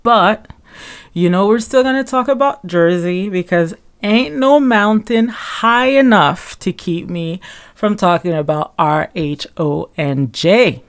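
Young woman with a sore throat, bit raspy, chatty gossip podcast host, lots of fillers. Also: mid-range voice, slow pace. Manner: chatty, animated, frequent fillers. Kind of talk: casual gossip commentary.

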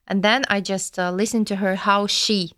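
A woman's voice: mid-range voice, steady tone, moderate pace, fairly bright tone, dry recording, clean studio sound. A woman from Ukraine with a Ukrainian accent, speaking cheerfully as a guest in a casual interview. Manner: cheerful, giggly. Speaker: interview guest, student care manager.

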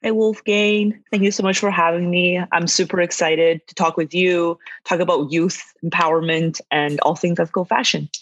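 A woman's voice: lowish voice, steady tone, moderate pace, few pauses, no room sound. A young woman talking enthusiastically and chattily as an interview guest, on a slightly lo-fi recording. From born in northern China, grew up in western Canada from age eight. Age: young adult. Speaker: podcast guest, youth climate advocate. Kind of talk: conversational interview.

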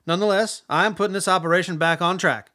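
An actor playing a proud, cold government secretary in a radio play, speaking in pompous, clipped, formal sentences. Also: male voice, high-pitched, fairly even intonation, quick pace, bright, forceful tone. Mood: stern.

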